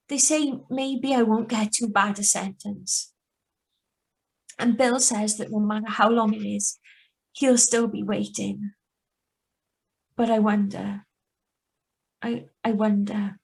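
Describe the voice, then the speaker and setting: A woman playing a young wife who calmly confesses to murder in a live reading of a radio thriller. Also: fairly high pitch, takes it slowly, creaky, long pauses. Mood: calm, confessional. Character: young wife Patrice, calm remorseless killer confessing. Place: video call reading from home.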